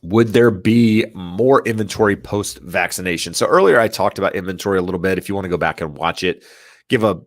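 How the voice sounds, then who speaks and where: A man's voice: deep voice, fairly bright tone, no echo, studio quality. A man hosting a casual live question-and-answer stream, stuffy and nasal from allergies, hesitant with many fillers and restarts as he reads out viewer questions. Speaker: real estate livestream host.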